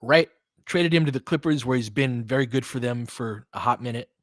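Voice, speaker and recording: A man's voice: medium pitch, fairly quick, dry recording, studio quality. A man doing live basketball commentary as host, conversational and analytical, thinking aloud as he goes.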